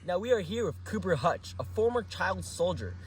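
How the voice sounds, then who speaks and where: A man's voice: high-pitched, very animated, normal speed, a little hiss or hum, poor audio. A young man playing a news analyst, earnestly reading scripted facts in an amateur news segment. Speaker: mock news analyst and field reporter.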